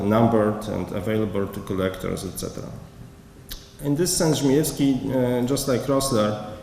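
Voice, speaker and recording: Curator, male, halting lecture delivery, gravelly low voice, echoey hall with faint noise. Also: warm tone. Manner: halting, hesitant, with many pauses. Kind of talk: conference lecture reading a prepared paper.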